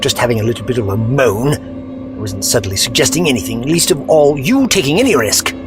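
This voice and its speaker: A man playing a frail, kindly old gentleman, weary and courteous, in an audio drama. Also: medium pitch, lively intonation, brisk, over music, lightly acted. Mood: strained, kindly. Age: older. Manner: doddery, courteous, sighing and groaning. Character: frail, weary, kindly old Doctor leaning on a walking stick.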